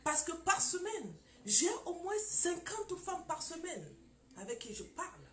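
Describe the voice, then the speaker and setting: A woman's voice: extremely high pitch, big pitch swings, unhurried, sharp tone. Impassioned woman preaching in an echoey room. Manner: impassioned, repeats phrases, rhetorical questions. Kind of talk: preaching testimony talk. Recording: echoey room with faint ambience.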